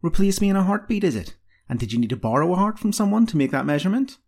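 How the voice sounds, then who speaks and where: A man voicing a cheeky, excitable nineteen-year-old female apprentice with a pert, eager, girlish manner. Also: mid-range voice, moderate pace, vocal fry, husky, heavily acted. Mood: petulant, sarcastic. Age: young adult.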